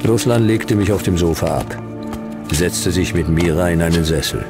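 An actor playing a laconic, wry hard-boiled detective who tells his case in clipped, deadpan phrases in a sci-fi radio drama. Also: low-pitched, moderate pace. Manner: dry, clipped, deadpan delivery. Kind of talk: German noir sci-fi radio drama narration and dialogue. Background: music.

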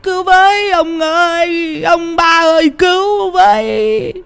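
A frightened sixty-year-old village wife in a ghost story , played by a man. The voice is pitched far up, stammering and pleading with a wail. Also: sing-song, unhurried, gravelly, soft background music, exaggerated voice. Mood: terrified.

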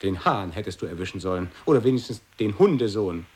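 A man acts out a hungry wanderer in an absurdist radio play. His voice is low, and he answers his companion with dry, practical reproach. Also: animated, quick pace, a little mellow, close to an everyday voice. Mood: dry, reproachful.

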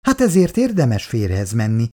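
A man's voice: mid-range voice, huge pitch swings, a little mellow. A man voicing a worried, reproachful elderly wife who keeps nagging her sleepy husband.

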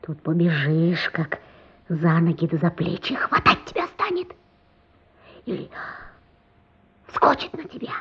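Spooked little street kid, hushed breathy storytelling, drags it out, radio play, muffled sound. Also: female voice, fairly low pitch, heavily acted. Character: spooky-storytelling street boy, wide-eyed and superstitious.

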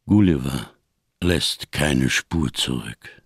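An actor voicing a grave, scarred giant of a fugitive in a radio crime drama, speaking with ponderous, over-careful diction and a gravelly, husky voice. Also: male voice, rumbling low, lively intonation, takes it slowly, no echo, clean studio sound, heavily acted. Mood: solemn, wry.

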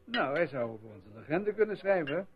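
An actor playing a gossipy, grumbling village doctor in a radio play. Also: male voice, on the high side, sing-song, fairly quick, husky. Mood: chatty, grumbling. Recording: muffled, phone-like lo-fi radio play.

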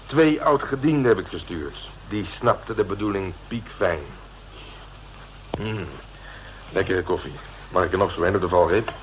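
An actor playing a cocky, hard-boiled police inspector in a radio drama, bossy and colloquial, with a self-satisfied way of talking. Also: male voice, deep voice, moderate pace, a little hiss or hum, natural voice, poor audio. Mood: smug, gloating. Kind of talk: Dutch radio drama acting.

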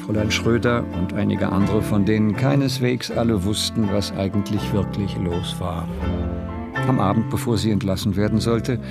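A man playing a sober, observant narrator in a radio drama, measured and understated in his scripted storytelling. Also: lowish voice, fairly even intonation, gravelly. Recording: radio drama with music bed.

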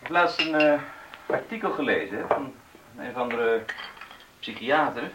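An actor playing an evasive middle-aged man in a naturalistic radio drama, halting and stammering as he talks to his partner. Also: medium pitch, animated, very noisy, close to an everyday voice. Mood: hesitant, defensive. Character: evasive, hesitant middle-aged male partner, casually teasing.

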